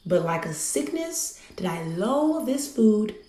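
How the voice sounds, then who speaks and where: Earnest young male lover, played by a woman, roomy sound, unhurried Shakespearean radio verse. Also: medium pitch, big pitch swings, warm tone. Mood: earnest, yearning. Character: earnest, lovestruck young Athenian noble suitor, male.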